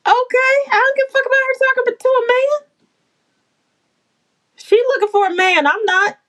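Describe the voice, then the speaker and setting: A woman's voice: very high voice, normal speed, fairly bright tone. A brash, playful woman from the Bahamas chatting casually and swearing freely on a livestream. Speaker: livestream host.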